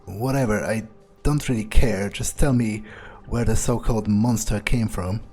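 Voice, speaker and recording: A man's voice: on the low side, steady tone, normal speed, a little mellow. A man giving in-character gameplay commentary, musing aloud in a sardonic manner. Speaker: gamer playing in character. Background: game music.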